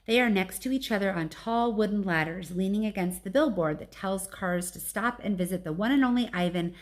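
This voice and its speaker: Woman teacher reading a storybook aloud. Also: mid-range voice. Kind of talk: storybook read-aloud narration.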